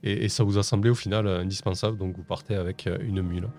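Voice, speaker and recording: A man with a low voice runs a tabletop game, pausing often and restarting his sentences. Faint music plays under him. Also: steady tone, darkish tone. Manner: hesitant, many fillers and restarts. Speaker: game master.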